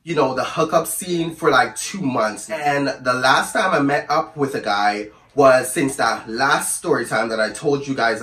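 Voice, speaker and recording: A man with a hoarse voice from the flu, telling a storytime to camera in a chatty, sassy, rambling way and calling his viewers "girl". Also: medium pitch, fairly bright tone, roomy sound, recorded from a distance. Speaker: storyteller vlogger. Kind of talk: casual storytime chat to camera.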